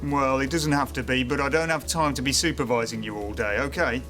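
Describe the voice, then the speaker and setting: An actor playing a busy, harried maintenance supervisor, brisk and managerial, in a naturalistic training film drama. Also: male voice, mid-range voice, fairly even intonation, pushed and bright, faint music behind, lightly acted. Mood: brisk, instructing.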